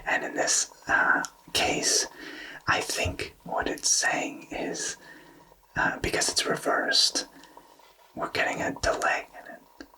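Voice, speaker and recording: A man's voice: takes it slowly, bright, forceful tone, recorded from a distance, low-quality recording. Whispering man reading tarot. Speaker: tarot reader.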